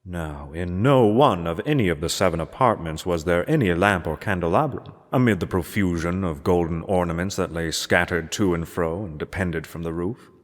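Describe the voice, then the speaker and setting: A man with a very low voice narrates a classic gothic horror story in a measured, theatrical way. His formal delivery rings out in a long, cavernous reverb. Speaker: amateur reader of a horror story. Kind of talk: dramatic scripted narration of gothic literature.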